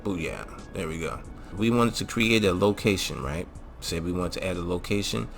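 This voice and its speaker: Deep-voiced man, casual gaming tutorial host. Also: expressive, moderate pace, creaky. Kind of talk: casual video game mod tutorial commentary. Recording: music behind the voice. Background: music.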